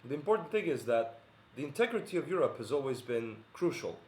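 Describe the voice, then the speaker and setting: A man's voice: medium pitch, big pitch swings, darkish tone. Earnest man in an echoey room. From Bosnia and Herzegovina. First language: Bosnian. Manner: earnest, measured, many pauses and "uh" fillers. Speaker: video commentator. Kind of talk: monologue to camera.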